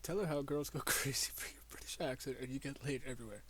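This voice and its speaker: A man's voice: medium pitch, fast, a little hiss or hum. A man casually commenting on a video game as a streamer, mocking and joking. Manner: mocking, laughing asides. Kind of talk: casual let's play commentary.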